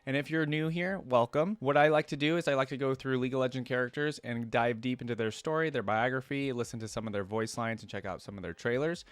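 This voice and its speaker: A man's voice: medium pitch, fast talker, hardly any pauses, clean tone, no room sound. A man hosting a gaming lore reaction series, switching between reading aloud and informal commentary as he thinks aloud. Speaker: host of a video game lore reaction series.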